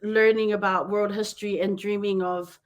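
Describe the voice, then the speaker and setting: A woman with a New Zealand accent hosting a podcast, chatty and quick to laugh, often wandering off on tangents. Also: mid-range voice, level tone. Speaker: podcast host. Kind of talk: casual chat.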